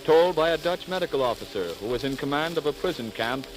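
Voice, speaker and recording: A man's voice: on the high side, animated, fast talker. An American man introducing a 1940s radio program in a dramatic, declamatory announcer style. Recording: old crackly 1940s radio recording. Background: music.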